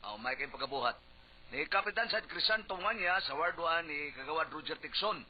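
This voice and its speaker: High-voiced Cebuano man on lo-fi radio. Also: lively intonation, a little fast, husky. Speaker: radio host. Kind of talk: casual radio banter and listener advice. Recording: dull lo-fi radio recording, faint noise.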